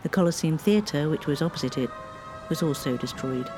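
A woman, reading a scripted local history narration in a measured way. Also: low-pitched, expressive, music in the background. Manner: measured, reads dates and figures.

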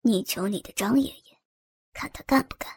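A woman voicing a cold, domineering village man who gives orders in a low, hushed tone. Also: normal speed, slightly rough, no echo, clean studio sound. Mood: menacing.

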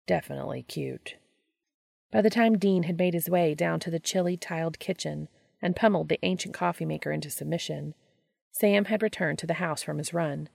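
A woman narrates a story in a low voice thick with vocal fry. The reading is scripted audiobook narration, captured close and dry. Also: fairly quick, a little mellow, no room sound. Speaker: audiobook reader of fan fiction.

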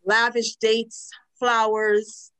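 A woman in her forties from Trinidad, a guest telling her personal story frankly and earnestly, with lively quoted asides. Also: a little high, very slow, clear, resonant tone. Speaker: guest, domestic violence advocate. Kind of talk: personal testimony in a podcast interview.

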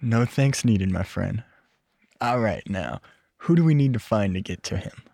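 A laid-back, friendly American bro in an audio drama. His pitch swings freely, with a creaky edge, and the recording is close and dry. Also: expressive, a little slow, a little mellow, dry recording, full character voice. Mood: supportive, eager. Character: easygoing, eager, friendly male former high school classmate. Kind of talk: casual, naturalistic audio drama acting.

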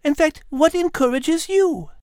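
French female cat co-host: high, polite, prim, clever, for a kids' cartoon-style podcast. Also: a little slow, mellow, no room sound, clean studio sound. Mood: warm, courteous. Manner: polite, dainty, hesitant when flustered.

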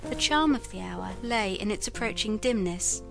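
A woman performing a reflective narrator from a period novel, in a measured, contemplative literary reading. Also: medium pitch, lively intonation, creaky, over music.

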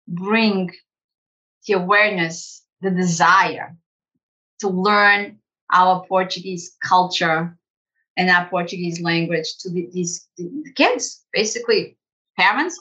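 A woman school director telling her story in an interview, animated and conversational, laughing easily. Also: on the low side, muffled recording. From United States. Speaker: executive director of a language school.

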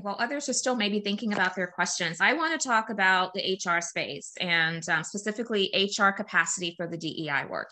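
A woman hosting a webinar who politely invites the audience to ask questions. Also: fairly low pitch. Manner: polite, inviting, steady prompts. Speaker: host and moderator. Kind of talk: moderating a webinar Q&A.

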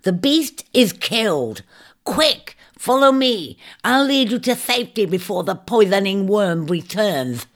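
A woman's voice: medium pitch, sing-song, a little slow. A woman voicing a crafty, urgent shrike bird with a hissing delivery and old-fashioned speech, in a storybook narration. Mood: sly urgency. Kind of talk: audiobook storytelling character voice.

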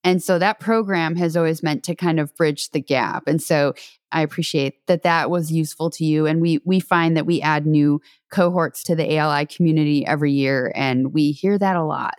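Smooth low-voiced woman host. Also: fairly even intonation, normal speed, no room sound, studio quality. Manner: warm, appreciative, asks long framed questions. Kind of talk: interview hosting.

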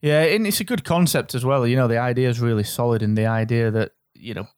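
A man's voice: mid-range voice, quick pace, darkish tone, clear, resonant tone, dry recording, clean studio sound. A man hosting a podcast, encouraging his guest in an upbeat way. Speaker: podcast host. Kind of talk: podcast interview.

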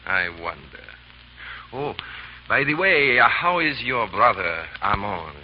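Casting: cold blackmailing agent, lowish voice, insinuating and commanding, vintage radio drama with muffled, faintly noisy sound. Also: male voice, big pitch swings, slow pace. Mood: silky menace. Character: menacing, coolly blackmailing male French agent. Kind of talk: 1930s radio drama acting. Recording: dull, noisy 1930s radio recording.

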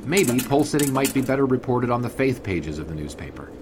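An actor playing a wry, bemused 1920s newspaper sports writer, narrating in measured, formal and wordy prose for an audio drama. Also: male voice, medium pitch, big pitch swings, background noise, lightly acted. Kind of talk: scripted first-person narration in audio drama.

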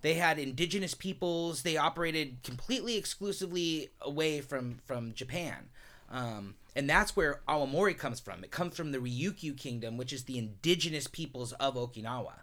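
A man's voice: fairly high pitch, lively intonation, normal speed, a bit bright. A man hosting a casual studio talk show, eagerly interjecting with background history. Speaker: host.

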